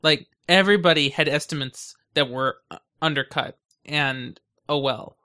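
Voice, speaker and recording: A man co-hosting a casual podcast, speaking in a dry, matter-of-fact, gently teasing way. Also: fairly high pitch, moderate pace, smooth. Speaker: podcast co-host.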